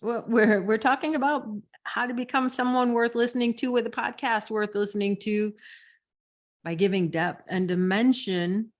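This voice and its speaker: A woman's voice: on the high side, fairly even intonation, normal speed. A woman co-hosting and coaching on a podcast in casual, giggly conversation, repeating words for emphasis. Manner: giggly, laughs often between phrases. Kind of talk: casual podcast teaching chat.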